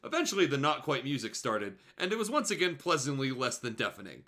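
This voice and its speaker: A man's voice: medium pitch, very animated, quick pace, pushed and bright. A man hosting a livestream, giving a theatrical comedic reading of fan fiction with irreverent, sweary asides. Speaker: guest host reading fan fiction aloud on a livestream show. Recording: music playing behind the voice. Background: music.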